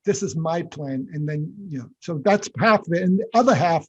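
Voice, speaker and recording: Guy running an online money class, highish husky voice, muffled call audio, keeps laughing and restarting. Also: expressive, brisk, darkish tone. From Boston area. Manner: chatty, laughs often, many restarts. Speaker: lecturer hosting a finance session. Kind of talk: casual online class chat.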